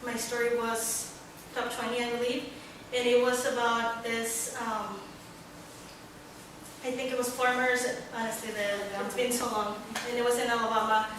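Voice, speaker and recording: Student panelist, young Mexican woman, earnest and halting, recorded far off with audible room noise. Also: on the high side, little pitch movement. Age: young adult. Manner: earnest, searching for words with many pauses. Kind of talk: panel talk at a book launch. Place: large echoey library hall. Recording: echoey hall, distant, room noise.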